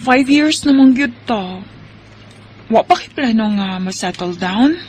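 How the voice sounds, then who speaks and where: High-voiced young woman in love, acted out by a woman. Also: animated, measured pace, darkish tone, breathy edge. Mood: wistful, pleading. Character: affectionate young woman longing to settle down. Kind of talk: Filipino radio drama acting in Bisaya.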